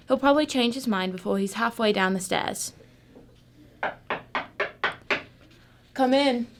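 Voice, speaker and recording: A woman's voice: on the high side, noisy background, lightly acted. A woman acting a shrewd, unflappable female detective in a radio drama, formal, composed and condescending. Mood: assured.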